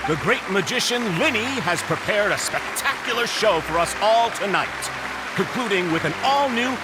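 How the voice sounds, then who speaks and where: High-voiced, showy stage announcer. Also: male voice, lively intonation, normal speed. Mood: showy, proclaiming. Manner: grand, proclaiming. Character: showy male master of ceremonies at magic show. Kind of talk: game voice acting, stage announcement. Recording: noisy crowd ambience over the voice.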